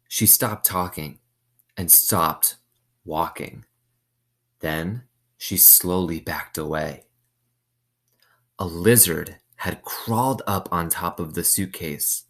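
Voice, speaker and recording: A man's voice: sits low, a bit bright. A man reading a novel aloud with suspense, explaining it in casual asides.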